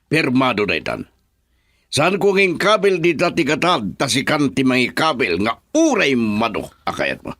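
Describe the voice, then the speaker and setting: A man's voice: mid-range voice, sing-song, moderate pace, fairly bright tone, no echo. A man acting a wealthy, stern, condescending father in a radio drama, with a Filipino accent. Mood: haughty, pressing. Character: wealthy, haughty, disapproving father of a young woman.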